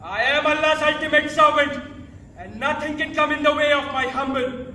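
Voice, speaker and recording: A man's voice: very high-pitched, moderate pace, sharp tone, full character voice. A man playing a ruthless, pious emperor and domineering father on stage, with an imperious, declamatory delivery. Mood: cold command. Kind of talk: theatrical stage acting. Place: indoor theatre stage, picked up from afar. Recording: distant, echoey theatre hall, clear noise.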